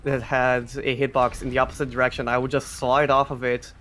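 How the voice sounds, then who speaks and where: A man giving live explanatory speedrun commentary, halting and often repeating words as he explains. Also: medium pitch, level tone, a bit bright, slight background noise.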